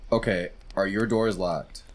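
A man voicing a weary former homeowner who speaks quietly and sounds resigned in a storybook reading. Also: low voice, steady tone, unhurried, voice pushed down. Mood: uneasy.

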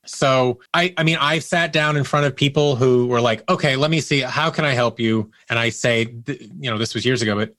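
A man with a mid-pitched voice talks casually as a guest in an interview. He tells anecdotes with emphasis, slipping into quoted dialogue as he goes. The sound is dry and close. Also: a little fast, hardly any pauses, a bit bright, no room sound. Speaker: podcast guest, audio producer.

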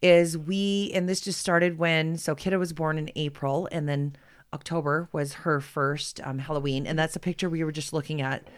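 A woman's voice: deep voice, level tone, moderate pace. A woman in her forties co-hosting a podcast, bantering casually with a friend. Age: 40s. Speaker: podcast co-host.

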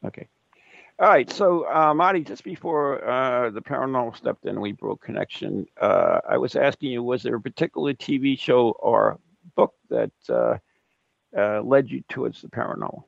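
A man's voice: medium pitch, moderate pace, creaky, no room sound. A man hosting a podcast who interviews his guest casually, affirming with short interjections. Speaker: podcast host. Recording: dull lo-fi sound.

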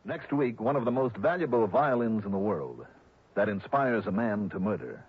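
An actor playing a hardboiled, wry American private investigator in a mid-century radio detective drama, cool and clipped. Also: male voice, fairly low pitch, expressive, normal speed, slightly rough. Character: hardboiled, quick-witted male private investigator. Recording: muffled old radio broadcast with music.

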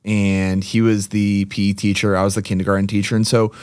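A man's voice: fairly low pitch, flat delivery, fairly quick, a little mellow, clean tone, no room sound, studio quality. A man, a podcast guest telling stories in a chatty, joking way. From Massachusetts. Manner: chatty storyteller, joking asides. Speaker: podcast guest, CrossFit gym owner. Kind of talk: casual chat.

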